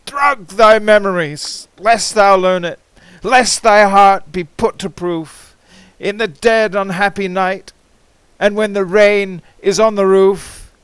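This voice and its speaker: A man giving a theatrical, impassioned recitation of poetry, swinging between hushed and ringing delivery. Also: high voice, fairly even intonation, takes it slowly, clean tone, no room sound. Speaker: amateur poetry reader.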